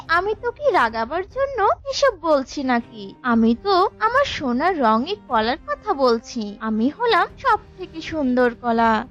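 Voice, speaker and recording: Woman voicing a vain, high-pitched cartoon banana. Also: muffled recording. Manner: boastful, mocking. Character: vain, haughty, taunting ripe yellow banana bunch. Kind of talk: cartoon character dialogue.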